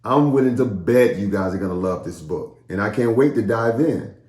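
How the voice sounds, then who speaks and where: A man, a children's storytime host, speaking playfully and directly to young listeners in an animated read-aloud style. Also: fairly low pitch, normal speed, echoey room.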